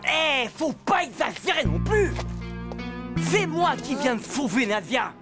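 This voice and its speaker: A woman playing an excitable, easily aggrieved know-it-all schoolboy in a French children's cartoon, talking in emphatic slang. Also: high voice, measured pace. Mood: indignant. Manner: slangy, emphatic explaining and protesting. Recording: music behind the dialogue.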